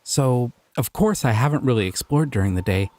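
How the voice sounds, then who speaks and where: A man's voice: medium pitch, expressive, fairly quick, a little mellow, no room sound, lightly acted. A man playing a sweet, shy slime creature. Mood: curious, gentle. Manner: sweet, playful, bashful. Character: gentle, curious, shy male slime creature. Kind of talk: audio roleplay for a listener.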